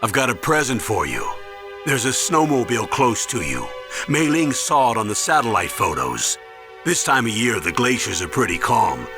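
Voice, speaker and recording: An actor playing an older military commander in a video game, measured and earnest, with a fatherly gravity. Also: mid-range voice, very animated. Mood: warm reassurance. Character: older male military commander, grave, paternal, remorseful. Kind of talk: dramatic video game acting. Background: music.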